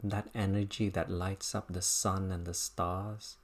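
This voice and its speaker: A meditation teacher guiding a body scan practice in an unhurried, contemplative manner, speaking in short, halting phrases. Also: sits low, level tone.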